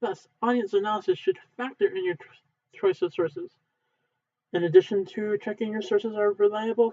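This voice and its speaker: A man with a high-pitched voice reads instructional textbook material aloud. He goes haltingly, a few words at a time, like an amateur practising. The recording is narrow and muffled, like a phone line. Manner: halting, word-by-word reading with stumbles. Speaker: amateur reader, channel owner. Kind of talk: reading a textbook aloud, unedited.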